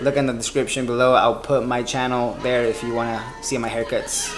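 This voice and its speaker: A man's voice: medium pitch, little pitch movement, fairly quick, over music. A man in his twenties, a barber and vlogger, chatting casually and rambling with lots of fillers and restarts. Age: 20s. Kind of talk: casual chat.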